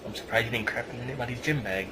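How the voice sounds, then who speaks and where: Slightly low male voice, noisy recording, sarcastic. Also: very fast. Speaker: podcast host. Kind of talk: casual recap commentary. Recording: clearly noisy home podcast recording.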